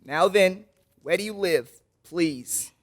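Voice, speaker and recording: Casting: measured, official judge male actor, notably high voice, old-time radio acting. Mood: patient, formal. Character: patient, formal male judge.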